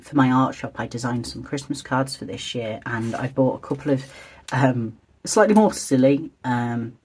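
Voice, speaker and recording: A vlogger with a slightly croaky voice, chatting quietly and hesitantly, thinking aloud while decorating a journal. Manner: hesitant, meandering, soft-spoken and low-key. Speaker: stationery and journaling video creator.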